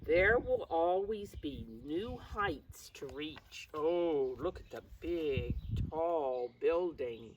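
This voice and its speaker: Mature woman, preschool storytime teacher, animated intonation, encouraging, explains things simply; distant mic with faint noise behind. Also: sits low, slow, hardly any pauses. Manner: encouraging, addresses young children directly. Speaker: preschool teacher reading a picture book. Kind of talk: children's read-aloud with simple explanations.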